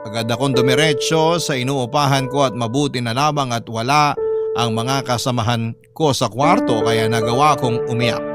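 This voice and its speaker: A man with a Filipino accent reading a scripted first-person story as a drama narrator. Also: mid-range voice. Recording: loud music behind the voice.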